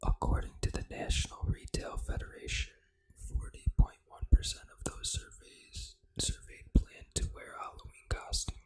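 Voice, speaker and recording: An ASMR host reading aloud in a hushed, fully unvoiced whisper, with deliberate phrasing. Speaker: ASMR gaming channel host.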